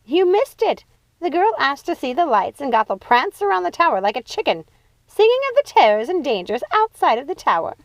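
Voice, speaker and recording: A woman voicing a bossy, excitable witch sister in a storybook, emphatic and exasperated. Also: high voice, fairly quick, dry recording, pitched above the performer's own voice. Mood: bossy, amused.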